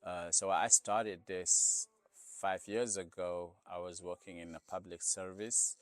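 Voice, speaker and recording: Store owner interviewee: man with a Senegalese accent, non-native English, low-pitched, conversational, close dry sound. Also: flat delivery, a little slow, no echo. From Senegal, West Africa. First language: not English. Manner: conversational, often adds "you know". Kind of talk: casual interview answers.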